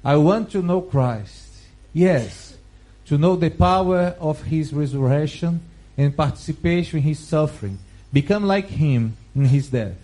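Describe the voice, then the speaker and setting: Male preacher, Brazilian Portuguese accent, non-native English, slightly higher voice, slow and careful Bible reading. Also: mellow, poor audio. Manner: slow, careful, halting phrasing with restarts.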